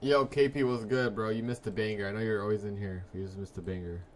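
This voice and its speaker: A man in his early twenties hosting a live trading stream, chatting casually with viewers in a laid-back, slangy way and letting his sentences trail off quietly. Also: fairly low pitch, steady tone, fast talker, a little hiss or hum. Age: 20s. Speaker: livestream trading host. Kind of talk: casual live-stream chat reading comments.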